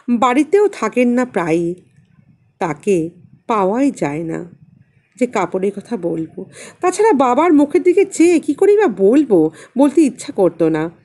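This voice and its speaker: ধীর লয়ে গল্প পাঠ করা এক বাঙালি নারী. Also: female voice, medium pitch, darkish tone. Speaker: audiobook narrator and channel host. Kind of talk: expressive literary story narration.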